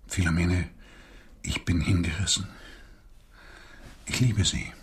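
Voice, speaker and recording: A man's voice: low-pitched, fairly even intonation, slow, husky, exaggerated voice. A man acting in a radio drama as an aging, lecherous swindler, blunt, wry and world-weary. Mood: wry, courting. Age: older. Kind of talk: intimate radio drama dialogue.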